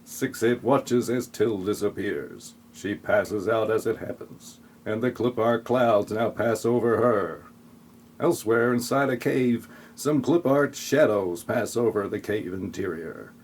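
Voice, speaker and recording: A man's voice: lowish voice, a little slow, darkish tone, slightly rough. A man narrating a scripted comic audio play in a mock-dramatic, tongue-in-cheek way.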